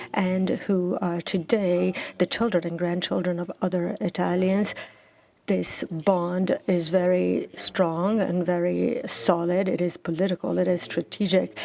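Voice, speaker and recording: A woman interpreting live in a formal register, halting and going phrase by phrase. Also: lowish voice, gravelly, mellow.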